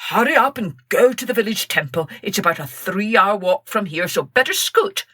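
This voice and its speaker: A woman's voice: medium pitch, very animated, normal speed, sharp tone, breathy edge, dry recording, heavily acted. A woman voicing a helpful, chatty rooster in a children's story, eager and bustling. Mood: urging.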